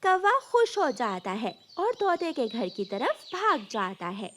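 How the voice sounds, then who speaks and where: A woman's voice: high voice, big pitch swings, unhurried, fairly bright tone, slight background noise. A woman narrating a scripted children's story as its storyteller.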